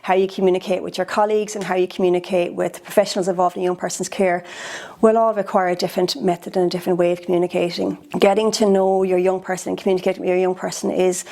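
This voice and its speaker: A woman's voice: lowish voice, level tone, fast talker, creaky, dark tone. A woman, a social care worker, explaining her work in an interview.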